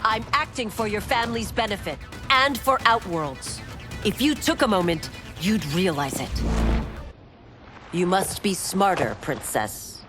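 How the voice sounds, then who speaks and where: A woman's voice: mid-range voice, big pitch swings, unhurried. An actress playing a loyal, steadfast female warrior in a video game cutscene, speaking earnestly and respectfully. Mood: firm. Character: loyal, steadfast female warrior, old friend of royal family. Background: music.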